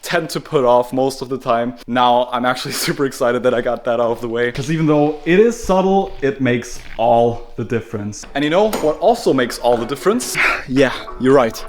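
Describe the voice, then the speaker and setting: A man's voice: mid-range voice, lively intonation, normal speed, slight background noise. A man with a German accent, a native German speaker, chatting casually to camera as a car build vlogger who laughs at himself. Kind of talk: casual vlog narration. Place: car workshop.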